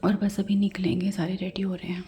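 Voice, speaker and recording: A woman's voice: mid-range voice, completely flat delivery, brisk, mellow, a little hiss or hum. A woman vlogger whispering to the camera early in the morning before setting out. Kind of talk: whispered vlog greeting.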